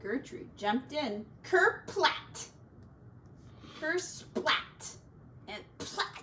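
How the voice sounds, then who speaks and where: A high-pitched woman reads a picture book aloud for young children, taking her time over the words. She draws out descriptions dramatically and drops in playful asides. The microphone sounds a little distant. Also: a bit bright. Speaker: teacher reading a storybook to children.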